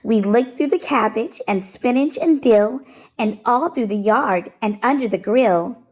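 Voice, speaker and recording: High-voiced woman reading a children's story. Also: a little slow, a little mellow. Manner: rhythmic, sing-song storytelling of rhymed verse. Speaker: storytime host reading aloud. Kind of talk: expressive children's picture book read-aloud.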